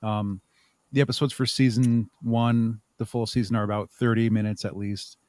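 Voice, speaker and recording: A man's voice: lowish voice, fairly even intonation, a little mellow, no room sound. Casual, thoughtful man with a dry, close sound. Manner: thoughtful, halting, chuckles while talking. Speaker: podcast guest, audio drama creator. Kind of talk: casual interview conversation.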